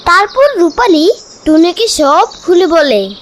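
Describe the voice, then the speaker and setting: A woman's voice: very high voice, expressive, takes it slowly, a little hiss or hum. A woman narrating a children's cartoon story in a scripted storytelling style.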